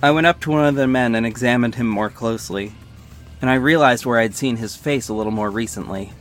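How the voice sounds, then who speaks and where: A man's voice: medium pitch, fairly quick, natural voice. A man playing a wry young superhero who narrates his own mission in scripted first person. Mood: descriptive, wry. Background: music bed.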